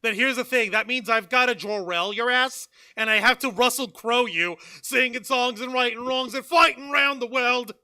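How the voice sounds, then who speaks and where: A man with a high, piercing voice hosts a rowdy online chat show. He jokes loudly and swears often. His exasperation comes through clearly. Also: moderate pace, hardly any pauses. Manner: loud, swearing, exasperated joking. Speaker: podcast host. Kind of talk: casual comedic chat.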